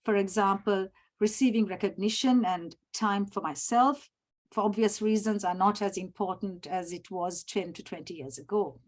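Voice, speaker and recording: Measured Sri woman presenting. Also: mid-range voice. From Sri Lanka. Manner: measured, formal, clears throat often. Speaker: summit presenter. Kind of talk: prepared formal presentation. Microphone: video call.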